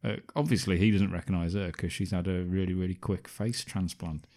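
A man with a notably deep, low voice talks through a film's plot in a casual podcast chat. He keeps slipping in wry, sarcastic asides. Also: fairly even intonation, fairly quick, mellow. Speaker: podcast co-host. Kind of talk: casual film review chat.

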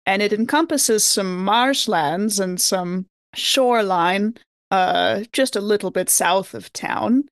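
Measured woman describing a roleplay scene. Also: mid-range voice, dry recording, clean studio sound. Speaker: tabletop game player. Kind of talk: improvised in-character scene description.

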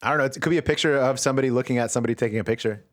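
A man's voice: medium pitch, racing. A man co-hosting a comedy podcast, trading sarcastic, playful jokes. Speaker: podcast co-host. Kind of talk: joking banter.

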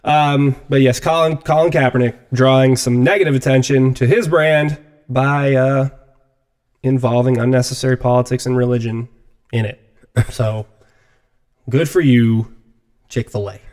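A man's voice: medium pitch, steady tone, moderate pace, pauses often, darkish tone, clear, resonant tone. Jokey sardonic man co-host. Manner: jokey, sardonic, lots of "mm" backchannel. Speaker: podcast co-host. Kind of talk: casual chat.

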